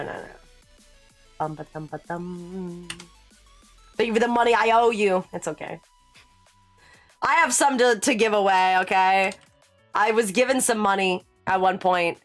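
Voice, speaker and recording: A woman's voice: medium pitch, fairly bright tone. Chatty young woman streamer rambling to chat. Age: late 20s. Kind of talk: casual live-stream chat while working.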